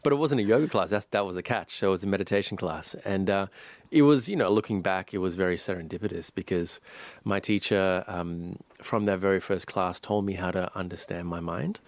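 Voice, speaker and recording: A meditation teacher from Sri Lanka speaks in a low, deep voice. He is calm and thoughtful, with pauses and restarts as he reflects on his past. The recording is dry and close. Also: no room sound. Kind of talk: reflective interview storytelling.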